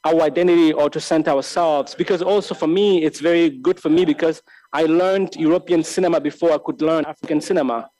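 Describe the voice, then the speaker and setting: A man in his thirties from Lesotho giving a filmmaking master class, speaking reflectively and haltingly, repeating words and restarting often. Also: on the high side, fairly even intonation, dark tone, rough recording. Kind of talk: reflective spoken lecture. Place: large bright hall with tall windows. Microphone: desk microphone on a stand.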